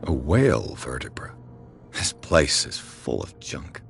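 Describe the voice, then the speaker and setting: A man's voice: very deep voice, sing-song, takes it slowly, gravelly, faint music behind, full character voice. A man playing a serious investigator in a video game, terse and formal.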